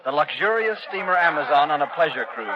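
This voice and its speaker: An actor playing a flippant, carefree young playboy in a 1930s radio drama. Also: male voice, fairly high pitch, lively intonation, fast, natural voice. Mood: amused, flippant. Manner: flippant, breezy. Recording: muffled 1930s radio with background chatter.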